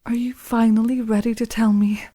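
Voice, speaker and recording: A woman playing a tender, worried partner of a pack leader in a fantasy audio drama, gentle and formal. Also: fairly high pitch, level tone, normal speed, close to an everyday voice. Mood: tender concern.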